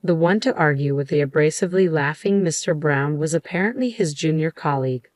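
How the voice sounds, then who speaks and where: A woman's voice: sits low, fairly quick, dry recording. A woman narrating a scripted first-person story as the storyteller.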